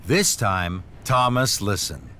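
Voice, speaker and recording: A man narrating a scripted children's story in an expressive storytelling manner. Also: lowish voice, slow pace, a little hiss or hum.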